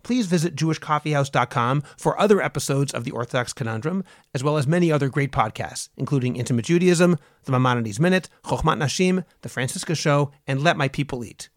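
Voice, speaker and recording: A man's voice: a little high, animated, fairly quick, hardly any pauses, no echo, clean studio sound. A man hosting a podcast, asking questions and reading the closing remarks fluently. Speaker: podcast host. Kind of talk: podcast interview.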